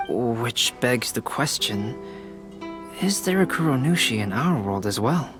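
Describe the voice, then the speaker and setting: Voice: medium pitch, lively intonation, measured pace, over music, lightly acted. A polite, thoughtful character in a game cutscene, a little nervous.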